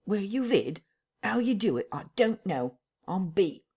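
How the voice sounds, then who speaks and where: A woman's voice: very animated, unhurried, warm tone, husky, full character voice. A woman doing a bewildered, slangy male tramp. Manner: exclaiming, slangy, high and drawn out. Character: bewildered scruffy male tramp, easily rattled. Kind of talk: audiobook character voice.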